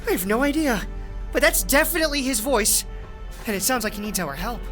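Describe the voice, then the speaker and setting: A man's voice: extremely high pitch, lively intonation, a little fast, fairly bright tone, soft background music. An actor playing an earnest, obsessive young man in an audio drama, hopeful but defensive. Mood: elated, urgent.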